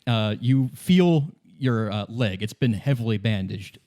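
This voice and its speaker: A man's voice: fairly low pitch, expressive, unhurried, dark tone. A man running a tabletop game, improvising narration in a casual second-person storytelling way. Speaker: game master.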